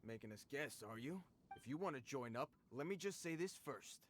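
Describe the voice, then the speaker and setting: A man's voice: mid-range voice, lively intonation, moderate pace, a bit bright, slight background noise, lightly acted. Man voicing a mocking bandit thug. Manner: taunting, tough-talking. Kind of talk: game cutscene voice acting. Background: game music.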